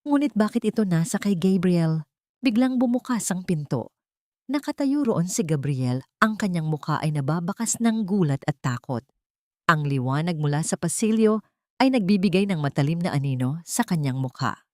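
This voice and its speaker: A woman's voice: lowish voice, expressive, moderate pace, mellow, no room sound, clean studio sound. A woman narrating a scripted dramatic story as an audio drama narrator.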